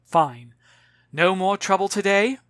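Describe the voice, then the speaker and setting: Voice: medium pitch, slow pace, a bit bright, smooth, no room sound, studio quality, voice pushed up. Narrator acting an exasperated, scolding storybook mother. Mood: softened fussing. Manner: exasperated, emphatic, fussing. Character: worried, scolding mother of an imaginative girl.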